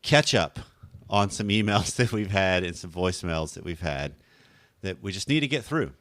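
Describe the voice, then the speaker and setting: A man hosting a podcast in a relaxed, chatty way, with a slightly hoarse deep voice and a habit of correcting himself as he goes. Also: moderate pace, dry recording, studio quality. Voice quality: deep radio voice. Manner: conversational, rambling, self-correcting. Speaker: podcast host. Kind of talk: casual podcast talk.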